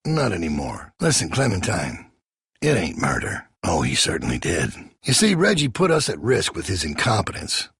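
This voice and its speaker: An actor playing a menacing, ruthless leader of a survivor camp in a video game, a middle-aged American man with a gravelly, raspy voice and a folksy, preachy manner. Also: deep voice, wildly swooping pitch, moderate pace, a bit bright, dry recording, clean studio sound, heavily acted. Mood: quiet, persuasive.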